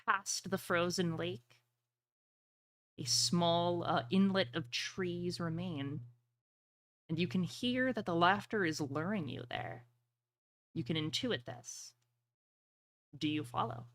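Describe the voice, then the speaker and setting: A woman running a fantasy tabletop game as game master, narrating in a dramatic, deliberate storytelling manner. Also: lowish voice.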